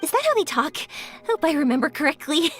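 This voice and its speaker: The excitable girl-next-door heroine browsing a fantasy game's bazaar, friendly, expressive, with wildly animated pitch. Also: fast. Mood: amused, friendly. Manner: bubbly, expressive. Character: cheerful, energetic, friendly young woman, enthusiastic traveller. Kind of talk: video game dialogue acting. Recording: music behind the voice.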